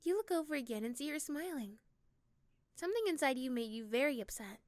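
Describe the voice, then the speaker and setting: A woman's voice: high-pitched, expressive, fairly quick, no room sound. A woman narrating a second-person ASMR story, recorded close to the microphone. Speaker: narrator and voice performer.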